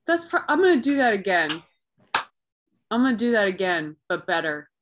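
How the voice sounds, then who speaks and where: A woman's voice: mid-range voice, very animated, normal speed, noisy background. A woman playing in a tabletop game over a video call, chatty, rambling and self-deprecating. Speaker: player.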